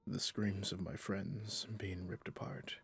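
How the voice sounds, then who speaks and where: A man playing a stern, haunted, centuries-old vampire hunter in an ASMR roleplay, speaking with slow menace in a breathy, gravelly voice that often drops to a whisper. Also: low voice, level tone, a bit bright, heavily acted. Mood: grim, regretful. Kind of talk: ASMR roleplay monologue to the listener.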